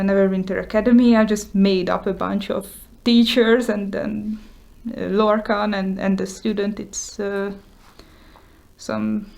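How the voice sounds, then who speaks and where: A woman's voice: mid-range voice, creaky, darkish tone. A woman whose first language is Hungarian, talking casually and hesitantly with many fillers as she shows her journal. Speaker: vlogger showing her journal. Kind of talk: casual show-and-tell.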